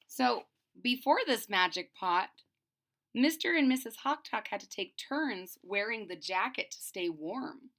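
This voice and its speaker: A woman reading a picture book aloud for children, pausing to explain it simply in a deliberate, teacherly way. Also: on the high side, lively intonation, a bit bright.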